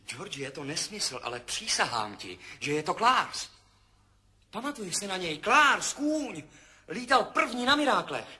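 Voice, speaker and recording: An actor in a 1970s radio play voicing a dazed, frightened pilot who reports in short, halting phrases. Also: male voice, sits high, very animated, exaggerated voice. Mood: bewildered. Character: dazed, frightened male pilot drifting between memory and death. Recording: 1970s radio drama, loud music behind.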